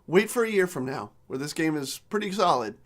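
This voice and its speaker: A man co-hosting a casual gaming podcast, reading news articles aloud while losing his voice and clearing his throat. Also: on the high side, sing-song, a little fast. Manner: clears throat, reads quotes in runs. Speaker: podcast co-host.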